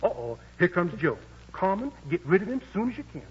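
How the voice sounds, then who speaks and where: Smooth-talking manager Rum. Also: male voice, sits high, sing-song, fairly quick, exaggerated voice. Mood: wheedling. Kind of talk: 1940s radio acting. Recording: dull old radio broadcast.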